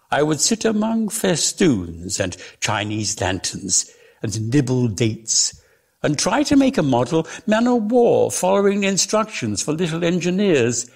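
A man giving a dramatic literary reading as storyteller, with theatrical, deliberate, emphatic phrasing. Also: mid-range voice, gravelly. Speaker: reader of a story.

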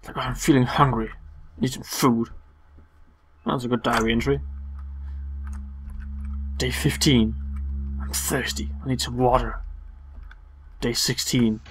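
A man giving casual, sarcastic and playful commentary on a horror game, swearing and reading game text aloud between quips, with a husky voice. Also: mid-range voice, fairly even intonation, a little slow, long pauses, slight background noise, rough recording. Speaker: let's play commentator.

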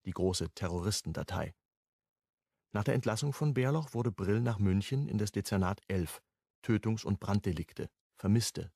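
A man's voice: on the low side, creaky, a little mellow, breathy edge, no echo, studio quality. A man reading scripted narration for a radio crime drama. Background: music.

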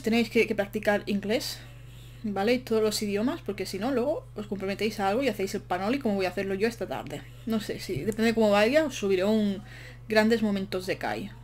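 A woman's voice: medium pitch, a little fast, a little mellow. Young woman rambling over a build, bit breathless, faint hum behind. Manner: chatty, rambling, self-mocking asides. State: short of breath. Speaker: game build commentator. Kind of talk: casual voice-over while building in a game.

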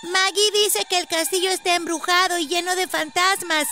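A woman's voice: very high voice, fairly even intonation, moderate pace, pushed and bright, faint music behind, pitched above the performer's own voice, heavily acted. A woman voicing a terrified young village boy in a storybook, speaking with fearful dread. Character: terrified village boy telling ghostly rumours.

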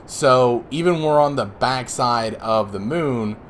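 Slightly hoarse man narrating gameplay. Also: medium pitch, a little slow, slight background noise. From Northeastern US. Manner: rambling, explanatory, self-deprecating. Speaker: let's play host. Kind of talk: casual gameplay commentary.

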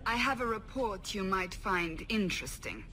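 A woman's voice: medium pitch, animated, moderate pace, heavy background noise, lightly acted. An actress playing a stern, suspicious armoured inquisitor in a video game, clipped and commanding in her questioning. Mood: grave, probing. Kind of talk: dramatic video game dialogue.

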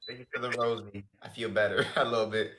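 A hoarse nineteen-year-old man from the Bronx, chatting and teasing on a phone livestream. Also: on the low side, level tone, fast, sharp tone, reverberant, slight background noise. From the Bronx, New York. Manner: slangy, laughing, teasing. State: hoarse, losing his voice. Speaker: livestream guest. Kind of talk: casual livestream banter. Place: indoor room. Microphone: phone at arm's length.

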